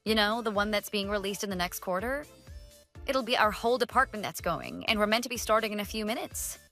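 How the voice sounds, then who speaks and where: A woman's voice: medium pitch, animated, brisk, sharp tone. Woman playing a curt, unimpressed professional woman. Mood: businesslike. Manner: cool, dismissive, businesslike. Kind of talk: radio drama dialogue. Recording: voice over background music.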